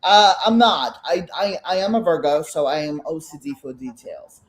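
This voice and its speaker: A middle-aged woman from Belarus with a Russian Brooklyn accent and Russian as her first language, speaking as the artist guest in a casual interview, halting and full of restarts and fillers. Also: low-pitched, lively intonation.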